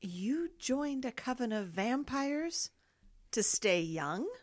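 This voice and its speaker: A woman's voice: a little high, big pitch swings, slow pace. A woman playing a scornful, mysterious interrogator in a horror audio drama, articulate and condescending. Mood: sinister amusement.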